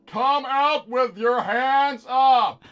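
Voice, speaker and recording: Man playing a slow, stern police officer. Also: very high voice, steady tone, full character voice. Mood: commanding. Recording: muffled, buried under loud music.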